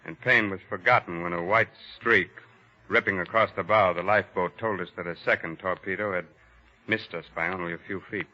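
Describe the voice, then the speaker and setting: An actor playing a plain, matter-of-fact city doctor in 1940s radio drama style. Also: male voice, deep voice, fairly even intonation, a little fast, bright, forceful tone. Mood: sober, matter-of-fact. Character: plain city doctor recounting surviving a torpedo attack. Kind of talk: 1940s radio drama first-person narration. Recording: muffled old 1940s radio recording.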